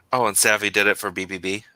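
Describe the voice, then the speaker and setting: A man's voice: fairly low pitch, bright, forceful tone, no echo. A man hosting a casual writing livestream, reading out viewer comments and chatting with laughs and self-interruptions. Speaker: host of a writing sprint stream.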